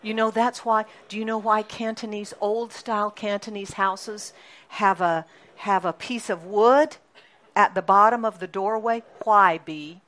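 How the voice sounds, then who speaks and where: A middle-aged woman pastor preaching a sermon in a lively, chatty way, often asking the congregation questions. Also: mid-range voice, unhurried.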